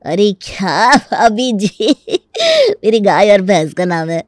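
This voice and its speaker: A man's voice: sits high, slow, no echo, studio quality. An actor playing a stubborn, crafty young village man who rents a room, folksy and mock-polite as he argues in a comic story. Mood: folksy, mock-innocent. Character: stubborn, crafty young rural male tenant with cattle. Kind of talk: Hindi comic story dramatization.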